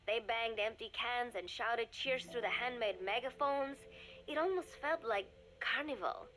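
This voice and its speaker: An actress voicing an earnest young woman reading her diary aloud in a video game, calm and reflective. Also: a little high, a little slow, a little hiss or hum. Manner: calm, reflective, softly spoken. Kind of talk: game audio diary narration.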